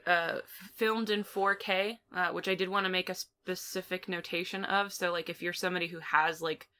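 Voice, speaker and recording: A woman's voice: lowish voice, sharp tone. A woman co-hosting a film review podcast, talking casually and informally with some mild swearing. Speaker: podcast co-host reviewing films.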